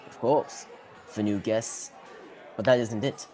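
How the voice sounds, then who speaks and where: Teen actor as a protective fantasy tavern keeper. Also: male voice, on the low side, steady tone, slow pace, a little mellow, some chatter behind. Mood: hospitable. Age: teens. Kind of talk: student radio theatre acting.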